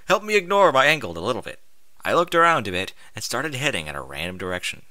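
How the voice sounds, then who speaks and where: A man narrates a first-person story with a very bright, forceful voice. His pitch moves around in a lively way and his delivery is expressive and informal. The sound is dry and close. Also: fast talker, no echo. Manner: expressive, informal, sweary interjections. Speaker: fan fiction reader.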